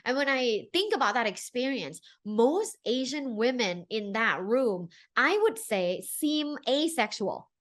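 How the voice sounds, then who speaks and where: A woman from Thailand hosts a conversational podcast interview. Her voice sits fairly high and moves up and down with a very animated melody. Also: a little slow, few pauses. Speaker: podcast host.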